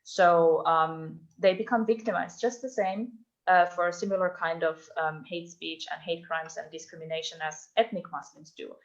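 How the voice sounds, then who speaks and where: Woman researcher, low-pitched voice, hesitant with ums, muffled video-call sound. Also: fairly even intonation, normal speed. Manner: thoughtful, frequent ums and restarts. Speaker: invited speaker, doctoral researcher. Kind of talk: online talk sharing research. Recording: dull lo-fi online call audio.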